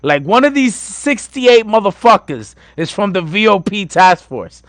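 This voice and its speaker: A man's voice: high voice, very animated, measured pace, no echo. A man co-hosting a podcast, talking in a rowdy, joking way and swearing a lot. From Puerto Rico. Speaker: podcast co-host. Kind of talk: casual profane banter.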